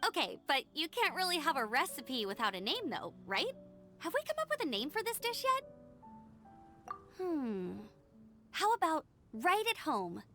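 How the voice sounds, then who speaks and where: A woman's voice: high voice, wildly swooping pitch, moderate pace, bright, forceful tone, faint music behind, heavily acted. A woman voicing a cheerful young female scout knight who talks in a chatty, upbeat way.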